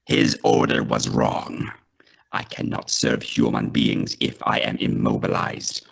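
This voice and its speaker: A man playing a cold, obedient alien machine in a radio play, speaking in a halting, toneless, deliberate way. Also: rumbling low, steady tone, creaky, husky, exaggerated voice. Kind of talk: scripted radio play reading.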